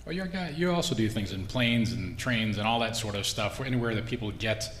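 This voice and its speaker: Halting male panel moderator. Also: lowish voice, fairly bright tone. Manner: casual, halting, repeats words. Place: conference hall. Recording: echoey room, faint hum.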